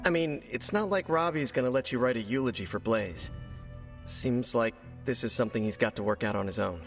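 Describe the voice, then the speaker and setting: A chatty, well-meaning young guy, played by a male actor, talks like a glib best friend in a game cutscene. His mid-pitched voice rings with long reverb, and music plays behind him. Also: brisk, vocal fry, close to an everyday voice. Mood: breezy, earnest. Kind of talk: video game cutscene acting.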